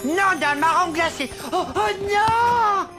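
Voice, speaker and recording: A nervous little male elf acted by a woman with a high voice. He frets and mutters to himself melodramatically, like a worrier in a children's cartoon. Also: expressive, slow pace, over music, heavily acted. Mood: fretting, melodramatic. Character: anxious, fretful male Christmas elf. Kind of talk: animated cartoon voice acting.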